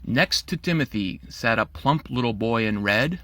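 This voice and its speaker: Animated, bright-voiced male storyteller. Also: mid-range voice, normal speed. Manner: theatrical, playful. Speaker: children's author and storyteller. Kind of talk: dramatic rhyming storybook narration.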